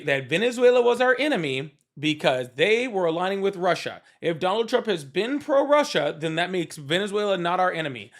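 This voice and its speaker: A man hosting a live political call-in show, pressing a caller with rapid, interrupting questions in a heated debate. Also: high-pitched, a bit bright.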